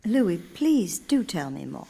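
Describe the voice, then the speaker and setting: A woman's voice: fairly low pitch, very animated, slow, gravelly, warm tone, slightly rough, heavily acted. An actress playing an earnest, gracious elder First Lady in a radio play, speaking formally and with theatrical emphasis. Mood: gracious, agreeing. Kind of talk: radio theater monologue and dialogue.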